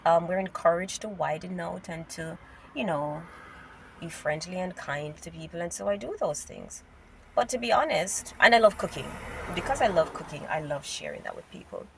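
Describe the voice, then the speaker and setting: A woman's voice: fairly low pitch, animated, moderate pace, creaky, distant mic, poor audio. A Jamaican woman talking casually to camera while driving, musing aloud with many fillers, her voice congested and a bit nasal. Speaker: vlogger. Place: inside a car in city traffic. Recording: loud road noise, mic set back.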